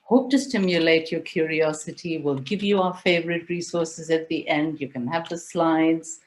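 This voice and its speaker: A woman with a notably low voice leads an online webinar over a video call. She is middle-aged, grew up in India, and explains things in a measured, plain-spoken way. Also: a little mellow. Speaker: webinar presenter.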